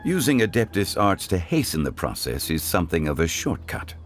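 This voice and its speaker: A man voicing a calm, refined ancient gentleman in a video game, speaking in a formal, erudite, measured manner. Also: deep voice, over music. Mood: courteous, composed.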